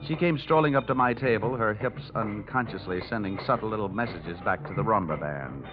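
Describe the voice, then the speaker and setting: Man playing a low-voiced wisecracking American radio detective. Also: expressive, fairly quick, lightly acted. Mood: dry narration. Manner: wisecracking, sardonic. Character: wry hard-boiled male insurance investigator. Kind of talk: 1940s-50s radio detective drama, narration and dialogue. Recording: dull vintage radio recording with music.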